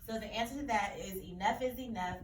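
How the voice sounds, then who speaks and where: Female teacher, higher voice, explaining and repeating key points for kids; distant mic, echoey room. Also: quick pace. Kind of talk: read-aloud lesson discussion for children. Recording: roomy echo, distant mic, faint noise.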